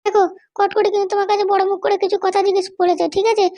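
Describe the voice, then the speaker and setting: A man voicing a bossy, short-tempered middle-aged cartoon wife with a West Bengal accent and a pitched-up voice. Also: little pitch movement, racing, mellow, no room sound. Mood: insistent. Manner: scolding, threatening. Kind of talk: comic cartoon dialogue.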